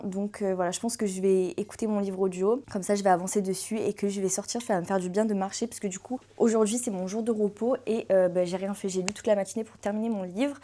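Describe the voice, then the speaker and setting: Chatty young woman with a mellow voice. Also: mid-range voice. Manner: chatty, fills pauses with hesitations. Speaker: book content creator. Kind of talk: casual vlog chat.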